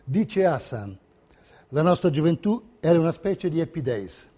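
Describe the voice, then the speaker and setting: An actor playing a narrator who recounts history and memories in scripted documentary theatre. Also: male voice, on the high side, very animated, normal speed, dark tone. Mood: evocative. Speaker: stage actor.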